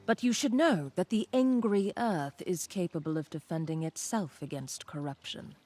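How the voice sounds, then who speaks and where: Proud, low-voiced female nature spirit played by an actress. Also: darkish tone, lightly acted. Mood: solemn, guarded. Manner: solemn, deliberate, haughty. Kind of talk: dramatic fantasy game dialogue.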